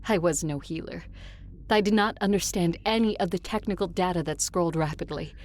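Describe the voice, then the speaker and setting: A woman's voice: on the low side, brisk, a little mellow, natural voice. A woman playing an adult female conqueror who narrates her quest in a first-person audio drama. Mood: measured narration. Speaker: narrator and lead character.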